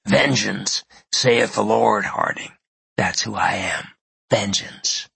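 A man voicing a vengeful older villain who taunts his victim in a slow, low, half-whispered gravelly voice. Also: pushed and bright, no room sound, heavily acted. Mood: menacing. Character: cold, menacing old male avenger.

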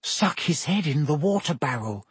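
A man's voice: a little high, lively intonation, normal speed, breathy edge, no room sound. A man voicing an earnest, firm teenage schoolgirl in an audiobook, speaking with care. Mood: matter-of-fact. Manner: earnest, careful.

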